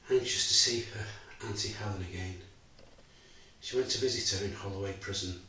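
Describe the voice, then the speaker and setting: A man in his sixties performing a quiet, regretful father in a theatre monologue, with a subdued, measured delivery. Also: lowish voice. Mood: sorrowful. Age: 60s. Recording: very echoey room, mic far away, faint hiss.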